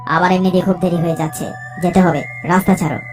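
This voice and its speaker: A woman's voice: on the low side, fairly even intonation, fast, lightly acted. Woman as an indignant, principled male journalist. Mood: annoyed, firm. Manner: indignant, firm, resolute. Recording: faint music behind the voice.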